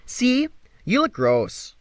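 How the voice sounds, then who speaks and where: Man putting on a blunt, high-pitched young girl. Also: huge pitch swings, slow, no room sound, exaggerated voice. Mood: teasing. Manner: blunt, flippant, dismissive. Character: blunt, unfazed, bratty young street girl. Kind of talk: audiobook character acting.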